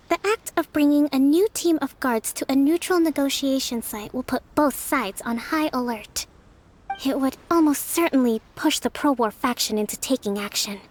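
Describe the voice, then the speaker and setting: An actress playing a gentle, composed young female leader in a video game, with measured, formal, articulate speech. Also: sits high. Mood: grave, analytical. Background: faint game background music.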